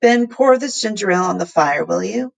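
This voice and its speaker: A woman's voice: mid-range voice, animated, a little fast, creaky. A woman voicing an enthusiastic, kindly older male professor who explains and exclaims in old-fashioned phrases. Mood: encouraging.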